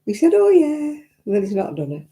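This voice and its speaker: An older woman with a croaky voice, chatting and rambling to viewers while she knits on a live stream. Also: mid-range voice, huge pitch swings, fairly quick, mellow. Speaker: crafting livestream host. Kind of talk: casual chat to live viewers. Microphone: own simple mic, live stream. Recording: crackly audio.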